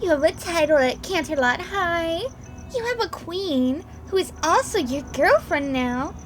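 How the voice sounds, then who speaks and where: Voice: very high voice, expressive, measured pace, fairly bright tone, full character voice. A performer voicing a doting, protective teenage big sister, gushing and motherly, in a cartoon fan drama. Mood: teasing, fond. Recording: music under the voice.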